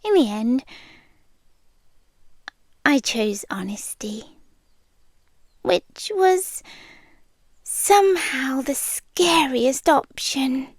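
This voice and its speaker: A British woman playing a shy, anxious, lovestruck monster from under the bed in an intimate ASMR roleplay, speaking softly and awkwardly, often in a whisper. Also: high-pitched, expressive, extremely slow, long pauses, no echo, full character voice. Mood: awkward, exasperated.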